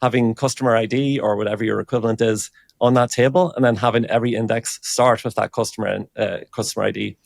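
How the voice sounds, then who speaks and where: Man with a creaky, halting conversational voice. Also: lowish voice, fairly even intonation, no echo, studio quality. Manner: halting, informal, thinks aloud. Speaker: engineering director, interview guest. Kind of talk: conversational technical explanation.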